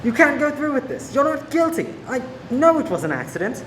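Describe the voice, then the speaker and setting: A man's voice: extremely high pitch, very animated, a little fast, a bit bright, full character voice. A man playing a protective, persuasive police sergeant in love in a radio play. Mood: urgent, pleading. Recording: echoey room with faint noise.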